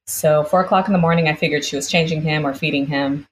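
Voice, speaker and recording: A woman with a low voice recounts a personal experience as an interview guest. She talks conversationally and slips into quoted dialogue as she retells it. There is faint background ambience in the recording. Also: fairly even intonation, quick pace, slight background noise, rough recording. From United States, born in Brazil.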